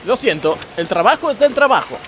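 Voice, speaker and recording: A man's voice: high voice, sing-song, brisk, a bit bright. A man playing a strict, preachy blind factory boss with an Argentine accent in a radio comedy sketch. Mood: stern, dismissive. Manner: stern, sanctimonious, curt.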